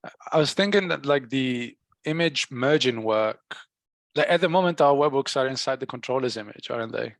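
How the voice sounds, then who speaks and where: A man in a casual technical work discussion, thinking aloud haltingly and often repeating words as he reasons. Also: medium pitch, lively intonation, creaky, no echo. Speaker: software engineer in a team meeting. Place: work call, close dry sound.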